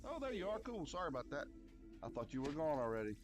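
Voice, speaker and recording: A man with a deep voice, casually chatting to viewers as a livestreamer in an easygoing, rambling way. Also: sing-song, fast talker. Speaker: game livestreamer. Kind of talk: casual chat with stream viewers. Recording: music behind. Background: clear background music.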